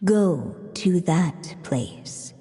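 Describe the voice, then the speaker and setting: A low-pitched mysterious storybook teller, woman, stately and very slow. Her fairytale narration rings in a long reverb with music behind it. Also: lively intonation, mellow. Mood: solemn, mysterious.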